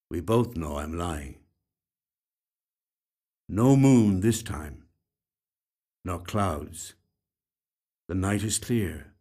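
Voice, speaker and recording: A man reading literary fiction in a measured, dramatic first-person narration, dropping into quiet phrases. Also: on the low side. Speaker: actor reading a short story. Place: recording studio.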